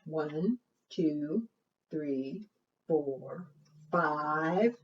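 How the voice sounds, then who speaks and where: A woman talks to preschoolers as a storytime librarian, her pitch swinging widely as she coaxes them with questions. She takes her time, and the sound is muffled, as from a microphone some way off. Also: expressive, very slow, slightly rough, recorded from a distance. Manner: coaxing questions, exaggerated gasps and singsong refrains. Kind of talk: interactive storytime talk to small children.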